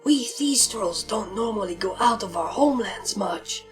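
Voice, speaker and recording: A woman voicing an earnest bat pony mare recruit with a soft, breathy, quiet voice in a story reading. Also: medium pitch, expressive, normal speed, faint music behind, natural voice. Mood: quietly earnest.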